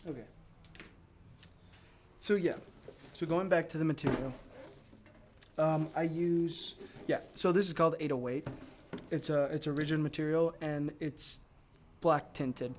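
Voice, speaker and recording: A man with a slightly high voice roughened into a raspy, low rasp by allergies talks loosely to his viewers. He wanders through fillers and restarts as he demonstrates a casting process. A faint background noise sits under the voice. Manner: rambling, with many fillers and restarts. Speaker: sculptor demonstrating mold casting. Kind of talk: casual livestream talk to viewers.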